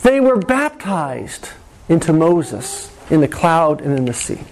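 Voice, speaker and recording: Male pastor, sermon, high voice, slow deliberate delivery, repeats lines for weight. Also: sing-song, slight background noise, rough recording. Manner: slow, deliberate preaching with dramatic pauses and repetition.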